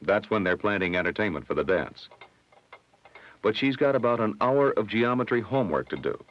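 An American man narrates an educational film from the 1960s over background music. His low voice moves with lively intonation and a measured, instructive delivery. The soundtrack is dull and lo-fi. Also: slight background noise.

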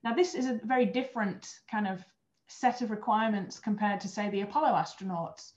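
A woman's voice: medium pitch, a little fast, darkish tone. A European woman in her thirties, a former astronaut trainer, explaining her work in an earnest way on a video call interview. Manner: earnest, explanatory, with small restarts. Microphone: webcam on video call. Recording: roomy, dull video call audio.

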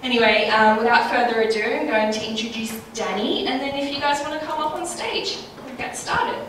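A woman's voice: on the high side, a little fast. An Australian woman, around her thirties, giving a spoken welcome as host to a live audience. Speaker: event host, music industry executive. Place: indoor event room with large windows. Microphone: handheld microphone through a PA. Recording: echoey room, distant sound, clear background noise.

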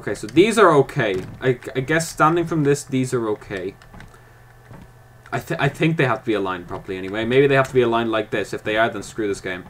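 A man's voice: mid-range voice, fast, a bit bright, a little hiss or hum. A man giving let's-play commentary, chatting to himself while he works out puzzles and snapping at the game in mock frustration. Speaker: gaming YouTuber.

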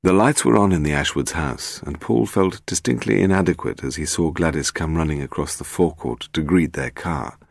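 A man's voice: a bass voice, normal speed, gravelly, few pauses, no echo, clean studio sound. A man with a British accent reading scripted detective fiction as an audiobook narrator. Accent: British English.

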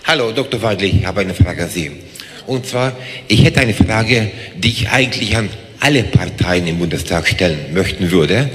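A man from Hungary, an audience member in a large hall, asking a long earnest question and slowing down on the words he stresses. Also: low voice, fairly bright tone. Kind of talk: question from the floor. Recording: echoey hall.

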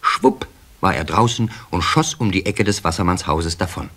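A man narrating a scripted children's story in an expressive storytelling manner. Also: deep voice, moderate pace. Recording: cassette recording with faint hiss.

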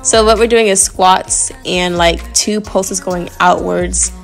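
A woman's voice: fairly low pitch, fairly even intonation, takes it slowly, vocal fry. A young adult woman vlogger casually narrating a workout. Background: faint music.

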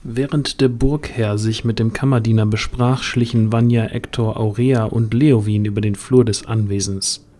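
A man's voice: lowish voice, fairly even intonation, normal speed, hardly any pauses, mellow. A man narrating a scripted fantasy audio drama as the storyteller.

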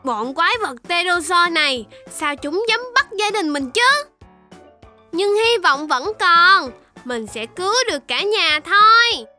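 A woman's voice: extremely high pitch, very animated, a little slow, pushed and bright, soft background music, heavily acted. A woman voicing an eager little baby shark in a children's cartoon, exclamatory and sing-song, talking straight to young viewers in style. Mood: determined, cheerful.

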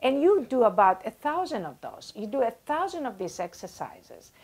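A woman in her sixties explaining as a guest expert in an interview, animated and gesturing, using everyday comparisons, on a lapel mic in a small office. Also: fairly high pitch, moderate pace, slightly rough. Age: 60s.

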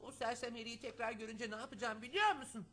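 A woman playing an eager, excitable little brother in a dubbed children's cartoon. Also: on the high side, fast, music in the background, lightly acted.

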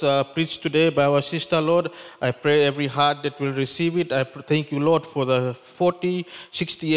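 A man's voice: a little high, clean tone. A man in his thirties from Fiji, a visiting Bible school leader, giving a testimony and then praying fervently before a congregation. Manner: earnest, fervent prayer. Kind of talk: testimony and closing prayer. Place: church hall, standing before the congregation. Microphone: handheld microphone. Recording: long hall reverb.

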